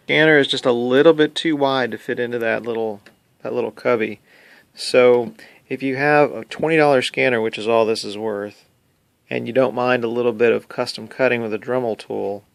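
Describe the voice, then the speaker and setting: A man talks through a do-it-yourself job in a casual, plain-spoken way. His voice sits at an average pitch with a noticeable creaky fry. The sound is close and dry, and he explains things one step at a time. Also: no room sound. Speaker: hobbyist demonstrating a DIY car install. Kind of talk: casual how-to narration.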